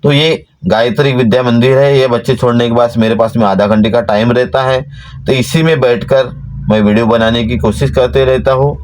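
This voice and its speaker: A man, preaching earnestly to his followers. His voice sits in a mid range and climbs higher when he presses a point home. He talks from inside a parked van. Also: steady tone, normal speed, darkish tone, rough recording. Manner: earnest, exhorting, rises in pitch to stress points. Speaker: devotional channel host. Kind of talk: informal devotional talk to followers.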